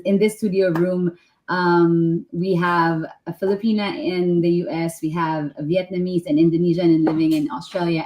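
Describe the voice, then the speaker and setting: Host: woman, fairly low voice, upbeat, introducing panel guests. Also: darkish tone, rough recording. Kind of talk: hosting a live online panel.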